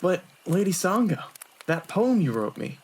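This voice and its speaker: A man playing a smooth-talking, lecherous young monk in a fan audio drama, polite and mock-innocent. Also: high voice, very animated, a little slow, exaggerated voice.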